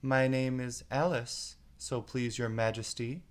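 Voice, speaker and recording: A man's voice: mid-range voice, steady tone, a little slow, close to an everyday voice. A man voicing a bold but polite young girl in a storybook, speaking in a plain, decided way.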